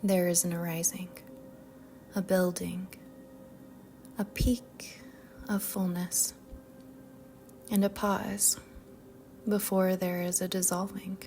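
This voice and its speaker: A woman guiding a meditation in a soft, whispery-edged voice, speaking gently and soothingly with long pauses. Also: on the low side, little pitch movement, slight background noise. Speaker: yoga nidra meditation guide. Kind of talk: guided meditation narration. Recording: soft music bed behind voice. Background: music.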